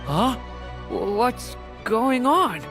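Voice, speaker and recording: An actor playing a kind, earnest young traveler in a video game, sincere and a little hesitant. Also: male voice, very high-pitched, big pitch swings, drawn-out words, music in the background, heavily acted. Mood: startled, sympathetic. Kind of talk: dramatic video game voice acting.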